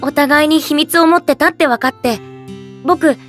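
A woman's voice: sits high, moderate pace, faint music behind, lightly acted. A woman playing a polite, eager, friendly teenage boy adventurer in a Japanese game drama CD. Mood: warm, friendly.

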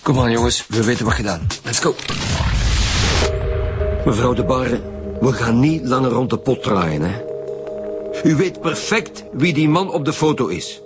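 An actor playing a brisk, authoritative police detective team leader in a radio drama, with a Flemish accent. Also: male voice, medium pitch, lively intonation, over music, natural voice. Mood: firm. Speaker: lead detective.

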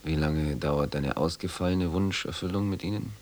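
Actor as an arrogant, very deep-voiced man. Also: moderate pace, darkish tone, natural voice. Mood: needling. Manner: haughty, terse, probing questions. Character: arrogant, needling male client, cold and demanding. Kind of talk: radio drama acting.

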